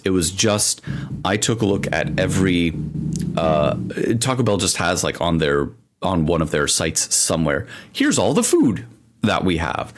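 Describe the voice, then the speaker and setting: A man co-hosting a podcast, chatting casually and telling rambling stories full of asides. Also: low-pitched. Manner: rambling, animated storytelling with frequent asides. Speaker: podcast co-host.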